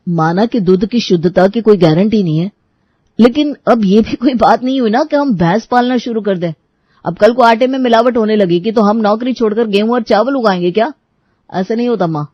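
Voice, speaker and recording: A man's voice: sits high, a little fast, no room sound. A man voicing a reasonable but grumbling young husband and son in a cartoon story, slightly lo-fi. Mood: exasperated.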